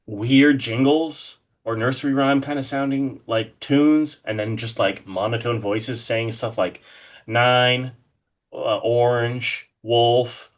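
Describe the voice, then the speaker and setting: A man chatting casually as an interview guest, rambling and enthusiastic, often hesitating as he works out his thought. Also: mid-range voice. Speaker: guest, horror artist being interviewed.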